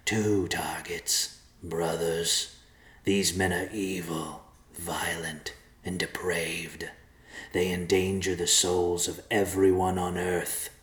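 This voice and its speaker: Disembodied storybook spirit performed by a man: slow, breathy, whispery, solemn and commanding, low-pitched with echo. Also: flat delivery, fairly bright tone. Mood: ominous, solemn. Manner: slow, ominous, deliberate.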